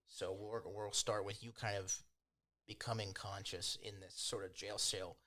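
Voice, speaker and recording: A man acting as game master, improvising tabletop game narration in an informal, hedging, unhurried way. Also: lowish voice, little pitch movement, bright, forceful tone.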